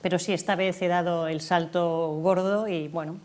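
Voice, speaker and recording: A woman's voice: fairly low pitch, steady tone, moderate pace, a little mellow. A woman from Galicia, an author being interviewed in a TV studio, talking with many fillers and restarts. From Galicia, Spain. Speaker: author guest.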